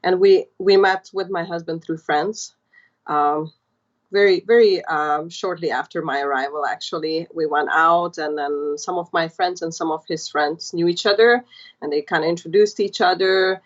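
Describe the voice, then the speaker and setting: Guest spot: Hungarian-born woman in her thirties, low-set voice, chatty storyteller, muffled lo-fi line. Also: fairly even intonation, normal speed. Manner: chatty, warm storytelling with many fillers. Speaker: podcast guest. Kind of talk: casual interview chat.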